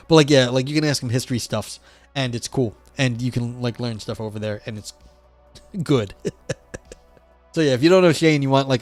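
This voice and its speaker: Hoarse, scratchy-voiced man streaming. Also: medium pitch, no echo. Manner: reads passages aloud then riffs casually, swears, self-deprecating. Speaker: streamer reading philosophy text. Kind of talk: casual livestream reading and commentary.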